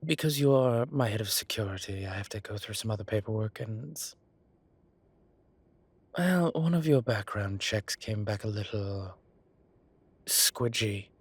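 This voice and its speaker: A man's voice: lowish voice, lively intonation, unhurried, pauses often, husky, dry recording. A man playing an urbane, playful boss in a roleplay audio drama, with arch, refined wording and a fond, theatrical manner. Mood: fond, hesitant.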